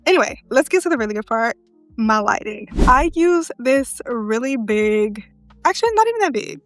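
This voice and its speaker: A woman with a soft voice, chatting casually to the viewer as she tours her filming setup in her living room, holding a wireless mic. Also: on the high side, big pitch swings, normal speed, no echo. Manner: chatty, talks straight to the viewer. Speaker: content creator. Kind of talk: casual home setup tour vlog. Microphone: handheld wireless mic. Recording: dry close mic with faint music.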